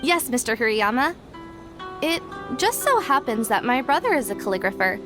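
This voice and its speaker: A helpful young female staffer with a high voice. She answers promptly and offers help. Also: sing-song, normal speed, over music. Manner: helpful, dutiful.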